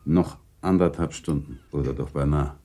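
A man playing a grave, courteous gentleman in a radio drama, speaking in a measured, formal and resigned manner. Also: rumbling low, fairly even intonation. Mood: sober, moved. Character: grave, courteous gentleman, confidant and second in a duel. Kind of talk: German radio drama acting.

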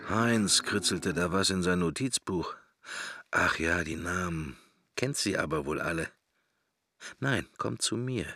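A brooding middle-aged man in a radio drama's inner monologue. His deep voice is full of gravel and murmurs in wry, wandering fragments. The sound is bone-dry and close, with faint music behind. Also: lively intonation, unhurried, sharp tone, no echo, full character voice. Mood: resigned, dry. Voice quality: gravelly, husky. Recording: roomless, very dry studio sound.

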